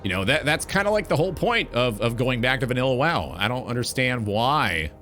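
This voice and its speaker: A man's voice: mid-range voice, very animated, a little fast, fairly bright tone, faint music behind. A man hosting a gaming talk show, delivering an opinionated monologue in an emphatic, blunt, sweary way.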